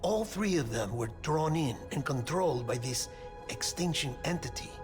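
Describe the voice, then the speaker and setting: A man's voice: on the high side, expressive, moderate pace, lightly acted. An actor playing an analytical, anxious male colleague in a video game, explaining matters in an articulate, urgent way. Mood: intense, reasoning. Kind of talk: cinematic game dialogue. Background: music.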